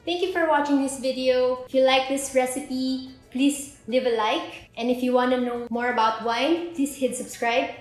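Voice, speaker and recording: A woman's voice: high-pitched, fairly even intonation, moderate pace, hardly any pauses. A woman, presenting a step-by-step drink tutorial as host in an indoor kitchen. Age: 20s. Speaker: host of a drink recipe video. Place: indoor kitchen with bare walls. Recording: echoey room with background music. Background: music.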